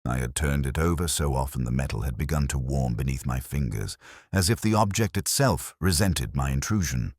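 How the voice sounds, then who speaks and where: A man voicing a weary middle-aged Oxford detective, narrating in first person in a measured, reflective way. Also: very deep voice, animated, darkish tone, dry recording, clean studio sound. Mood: brooding. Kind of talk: scripted audio drama narration.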